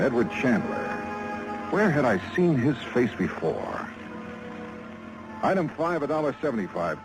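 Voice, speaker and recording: A man's voice: medium pitch, low-quality recording. An American man playing a shrewd, wry private insurance investigator in a 1950s radio detective drama.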